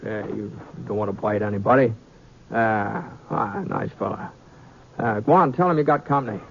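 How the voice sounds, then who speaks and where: An actor playing an easygoing, loyal cowboy gunslinger in an old radio western, folksy and hesitant. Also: male voice, lowish voice, normal speed, gravelly. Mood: coaxing. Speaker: lead actor and narrator. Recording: dull, noisy old radio recording.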